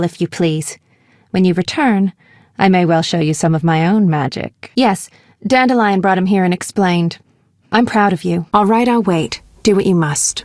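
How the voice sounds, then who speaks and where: A woman voicing a caring but feisty young female medic in a video game, speaking earnestly and directly, sometimes with a sharp tongue. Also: mid-range voice, no room sound, studio quality, lightly acted. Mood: tender, intimate, then cool, hurt. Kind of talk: video game character dialogue.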